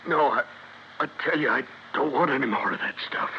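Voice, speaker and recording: An American actor playing a sickly, boozy, grumbling husband in a 1950s radio drama, halting and muttering. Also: male voice, medium pitch, lightly acted. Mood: groggy, protesting. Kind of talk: 1950s radio detective acting. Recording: muffled, faintly noisy 1950s radio recording.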